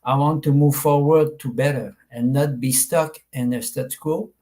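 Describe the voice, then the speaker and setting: A man from Quebec whose first language is French, speaking with a French Canadian accent and searching haltingly for words on a video call. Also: medium pitch, steady tone, warm tone. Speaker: participant. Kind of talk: video call self-introduction.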